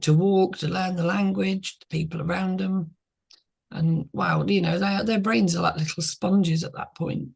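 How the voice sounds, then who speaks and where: Husky, gravelly-voiced woman podcaster. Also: medium pitch. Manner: reflective, earnest, talks in short restarting phrases. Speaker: podcast co-host. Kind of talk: casual podcast conversation.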